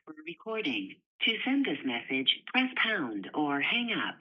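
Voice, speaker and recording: Muffled phone line, low-voiced woman, smooth, lively intonation, automated voicemail prompts. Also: measured pace. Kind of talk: scripted phone menu prompts. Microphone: heard over a phone call.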